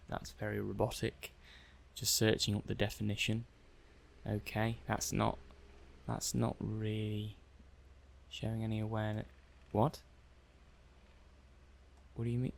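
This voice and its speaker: A man playing a hesitant, curious robot technician in an ASMR roleplay, halting and musing aloud. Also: lowish voice, gravelly, a little hiss or hum. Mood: bewildered. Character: hesitant, curious male robot technician running software tests. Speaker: audio roleplay performer. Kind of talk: soft-paced ASMR roleplay monologue.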